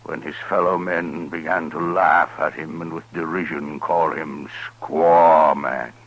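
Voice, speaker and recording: Very deep, slow, solemn old-radio chief. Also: male voice, little pitch movement, fairly bright tone, exaggerated voice. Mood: solemn grief. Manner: solemn, formal, deliberate phrasing. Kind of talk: 1950s radio western acting. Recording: 1950s radio recording with faint noise.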